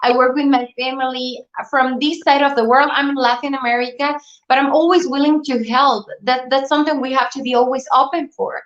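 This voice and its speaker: A woman's voice: high-pitched, steady tone, fairly quick, fairly bright tone. A young woman from Bolivia answering questions conversationally as an interview guest, on roomy, muffled audio. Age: young adult. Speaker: interview guest, beauty pageant titleholder.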